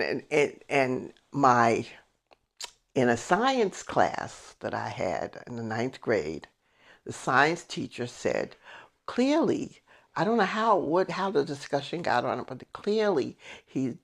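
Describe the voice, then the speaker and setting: An American woman in her eighties telling family stories in a casual, animated way, emphatically acting out remembered dialogue. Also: very deep voice, takes it slowly. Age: 80s. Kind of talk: casual personal storytelling.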